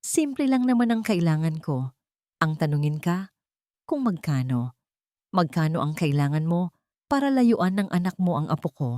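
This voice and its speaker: A woman's voice: fairly low pitch, normal speed, pauses often, dry recording, clean studio sound. A woman voicing a cold, haughty rich matriarch. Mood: scornful. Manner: calm, icy, mocking, threatening.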